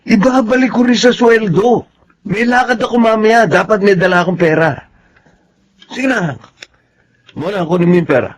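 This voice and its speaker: A man's voice: high voice, close to an everyday voice. A man acting in a Filipino radio drama as a domineering, hard-drinking father with a blustering, bullying manner. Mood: demanding. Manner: bullying, blustering, quick to challenge.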